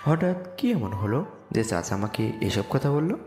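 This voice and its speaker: Young man, first-person story narration, animated intonation, long reverb, music behind. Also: medium pitch, moderate pace. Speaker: narrator and lead voice actor.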